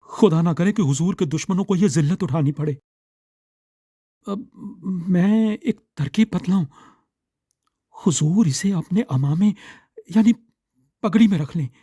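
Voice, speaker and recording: A man voicing a scheming, flattering royal vizier in an audiobook story, speaking in an urgent, courtly, deferential way. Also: high-pitched, measured pace, long pauses, husky, no room sound, studio quality, voice pushed up. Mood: sly, eager.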